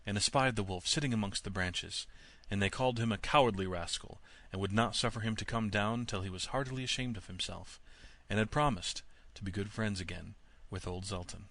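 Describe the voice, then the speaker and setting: A man reading a scripted fairy tale as an audiobook narrator, in an expressive, measured storytelling manner. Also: lowish voice.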